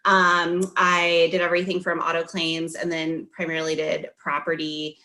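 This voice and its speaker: A woman's voice: on the low side, completely flat delivery, moderate pace, bright, forceful tone. A woman in her forties from the Midwest, a friendly interview guest who laughs often. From Midwest US, Iowa. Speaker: guest, insurance professional. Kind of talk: interview answers.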